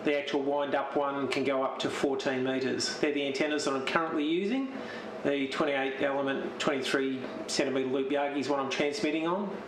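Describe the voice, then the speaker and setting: An Australian man giving an informal, halting show-and-tell narration as an amateur television operator. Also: medium pitch, fairly even intonation. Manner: halting, unscripted. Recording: roomy, noisy radio-transmission audio.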